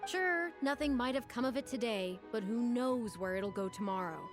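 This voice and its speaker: A woman's voice: on the high side, expressive, normal speed, lightly acted. Woman acting stern female opera troupe manager, blunt, protective, exasperated, game cutscene, music behind. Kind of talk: voice-acted game cutscene dialogue.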